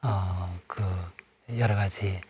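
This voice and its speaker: A middle-aged man speaking as a guest on a studio panel, pausing often and filling gaps with short fillers. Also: low voice, steady tone, darkish tone, slight background noise. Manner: hesitant, many pauses and fillers. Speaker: panel guest, former museum director.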